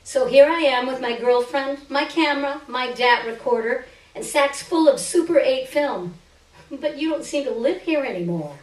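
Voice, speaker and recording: A woman giving an expressive, theatrical reading of a first-person short story on a stage. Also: high voice, normal speed. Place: live stage reading indoors. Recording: roomy stage, distant microphone.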